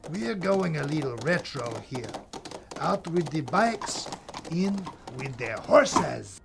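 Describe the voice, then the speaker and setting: An actor playing Death as a brash, scheming horseman who talks in slangy, swaggering sales pitches in an audio comedy. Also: very animated, slow pace, gravelly, noisy background, full character voice. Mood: gleeful swagger. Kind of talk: audio comedy drama acting.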